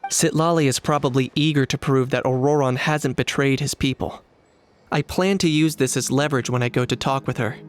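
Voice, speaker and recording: Man acting calm, pragmatic young warrior. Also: medium pitch, close to an everyday voice. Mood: serious, explanatory. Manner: measured, matter-of-fact, serious. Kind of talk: video game voice acting.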